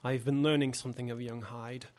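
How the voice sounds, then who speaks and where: A man acting in an audio drama as an earnest, worried Victorian gentleman, speaking formally and insistently. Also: mid-range voice, little pitch movement, a little fast, natural voice. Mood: disapproving.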